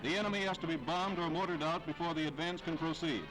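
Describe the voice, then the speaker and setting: A man's voice: a little high, fast talker, low-quality recording. A man delivering scripted 1940s newsreel narration in a formal, declamatory way with dramatic phrasing. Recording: vintage 1940s newsreel soundtrack, loud music.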